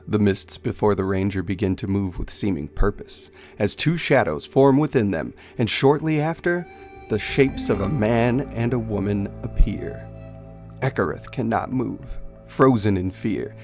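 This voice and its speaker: A man's voice: lowish voice, gravelly. A man narrating a fantasy story as a scripted dramatic reading.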